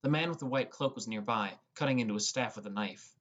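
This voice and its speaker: This is an audiobook narrator telling a fantasy adventure in the first person. The voice sits at a medium pitch and reads from a script. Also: fast, fairly bright tone.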